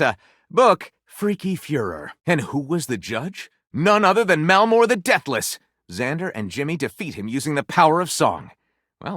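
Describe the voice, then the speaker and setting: A man's voice: fairly high pitch, fairly bright tone, no echo, clean studio sound. A man voicing a grandiose, lewd, self-satisfied storyteller character in a video game, with wry, sarcastic asides. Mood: playfully pompous.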